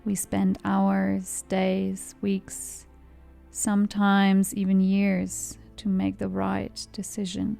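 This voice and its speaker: A woman narrating a guided meditation in a calm, soothing, measured way. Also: medium pitch, vocal fry. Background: background music.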